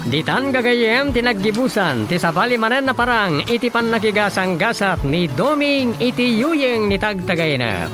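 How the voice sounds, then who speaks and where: Filipino guy announcing a radio drama, really swoopy high voice, music behind him. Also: big pitch swings, moderate pace, hardly any pauses. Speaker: radio drama announcer reading title and credits.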